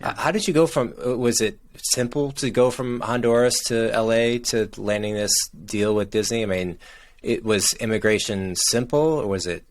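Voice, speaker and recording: A man hosting a podcast interview, asking curious, probing questions. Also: fairly low pitch. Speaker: podcast host.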